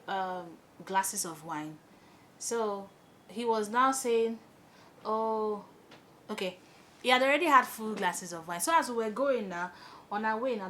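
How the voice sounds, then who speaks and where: Young Nigerian woman, animated storytime vlogger, mid-pitched voice. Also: a little hiss or hum. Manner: animated, exclaiming, chatty storytelling. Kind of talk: storytime while doing makeup.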